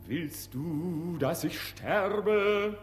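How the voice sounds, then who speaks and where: Slow, ardent young lover, formally courteous. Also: male voice, sits high, soft background music. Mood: yearning, impassioned. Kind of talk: German radio play acting of classic stage comedy.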